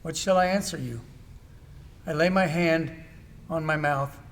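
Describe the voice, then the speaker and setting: A man's voice: fairly high pitch, vocal fry. A man playing a humbled, submissive sufferer answering meekly in a dramatized scripture reading. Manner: halting, submissive.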